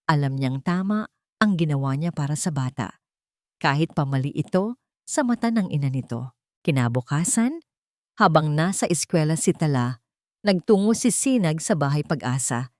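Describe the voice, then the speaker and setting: Female storyteller, Filipino accent, lower-pitched voice, dry close sound, for scripted romantic drama narration. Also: darkish tone, no room sound.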